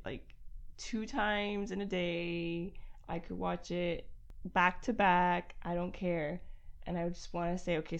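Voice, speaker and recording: A woman's voice: on the low side, creaky. A young woman co-hosting a podcast, chatting casually and laughing often. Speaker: podcast co-host. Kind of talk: casual chat. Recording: dull lo-fi podcast audio.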